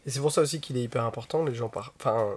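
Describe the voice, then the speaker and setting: A man hosts a conversation about wine, sounding slightly stuffed up from a cold. His voice sits at a medium pitch, and he reflects out loud in an easygoing way. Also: very fast, slightly rough. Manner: relaxed, reflective, frequent affirmations. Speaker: podcast host. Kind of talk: conversational interview.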